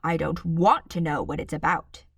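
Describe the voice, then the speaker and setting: A woman's voice: deep voice, steady tone, normal speed, a bit bright, breathy edge, no room sound, clean studio sound, exaggerated voice. A woman voicing an irritable, bullying father who snaps and barks with contempt in a storybook reading. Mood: angry, bossy. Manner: snapping, barking, contemptuous.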